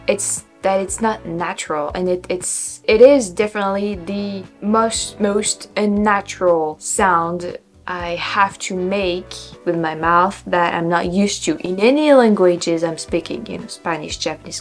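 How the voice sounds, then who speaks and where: Young french woman vlogging, french accent, repeats english words over and over trying to get them right, laughs a lot, bit of music behind. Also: mid-range voice. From France. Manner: thinks aloud, repeats words slowly to try pronunciations, laughs often. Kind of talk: casual reaction vlog.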